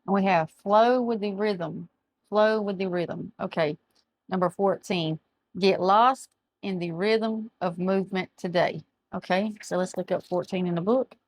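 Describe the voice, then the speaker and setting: A middle-aged woman, chatting through a tarot reading and reading aloud from a card book, her voice going hoarse as she often loses her words. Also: mid-range voice, measured pace. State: losing her voice. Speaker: tarot reader. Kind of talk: casual tarot reading to camera. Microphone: camera mic, speaking to camera.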